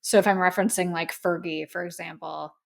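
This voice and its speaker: A woman hosting a solo podcast, a little hoarse, talking in an earnest, effusive, rambling way into a microphone. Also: on the low side, steady tone, a little fast. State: slightly hoarse. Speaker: podcast host. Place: in front of a camera. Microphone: studio microphone.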